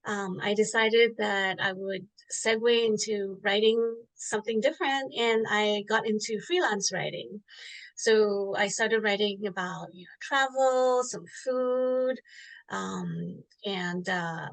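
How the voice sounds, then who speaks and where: A woman's voice: mid-range voice, creaky, low-quality recording. A middle-aged woman author from Singapore, telling her story in an interview with many fillers and restarts. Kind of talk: interview answer, casual storytelling.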